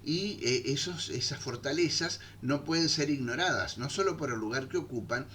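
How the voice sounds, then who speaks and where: A man giving an unscripted history lecture, filling pauses with "eh" and checking in with his listeners as he goes. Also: mid-range voice, bright, forceful tone, slight background noise. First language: Spanish. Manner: thinks aloud with frequent "eh" fillers and "¿eh?" checks. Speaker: history teacher.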